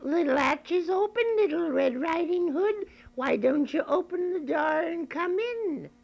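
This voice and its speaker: Male narrator doing an old, sick storybook granny: high, thin, quavering. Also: animated, unhurried, creaky, muffled recording, exaggerated voice. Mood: feeble. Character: frail, sick old grandmother in bed.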